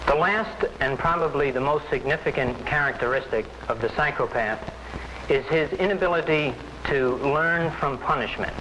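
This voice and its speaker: A man lectures formally. His voice is bright and forceful at a medium pitch. The old film soundtrack carries a little noise. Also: low-quality recording. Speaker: instructor.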